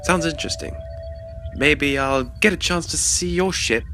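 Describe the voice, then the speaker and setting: A man's voice: fairly high pitch, moderate pace, bright, forceful tone, soft background music. A man playing an earnest, awkward spaceship technician in a fan audio drama. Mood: shy, curious.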